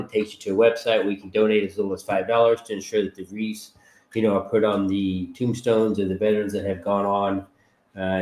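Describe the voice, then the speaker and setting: Middle-aged male podcast host, congested from a cold, blunt and chatty, slightly low voice, roomy echo. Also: darkish tone. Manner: blunt, chatty, rambling with fillers. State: stuffed up from a cold. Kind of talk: casual livestream monologue. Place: home setup with green screen.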